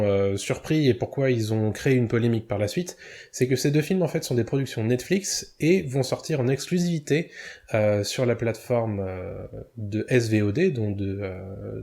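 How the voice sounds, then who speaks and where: Guy rambling through a topic to his viewers, lots of umms, warm mellow tone. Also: mid-range voice. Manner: hesitant, many fillers and self-corrections; self-deprecating, chuckles at own mispronunciations. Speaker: video commentator. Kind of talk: casual commentary to camera.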